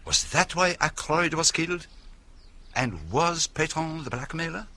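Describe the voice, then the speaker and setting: Shrewd detective, bright-voiced and animated. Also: male voice, on the high side, slight background noise, heavily acted. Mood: pensive. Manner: deliberate, probing, rhetorical questions. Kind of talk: radio drama acting.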